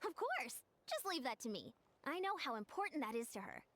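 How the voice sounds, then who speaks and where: A woman's voice: sits high, huge pitch swings, brisk, fairly bright tone, a little hiss or hum, heavily acted. A woman voicing an upbeat, encouraging young female coach in an anime game. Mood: friendly, encouraging.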